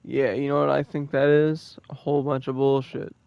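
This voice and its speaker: Young male streamer, 20, gravelly smooth voice, casual and profane, dull dry mic. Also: medium pitch, flat delivery, moderate pace, dry recording. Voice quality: profane, laid-back. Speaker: gaming streamer.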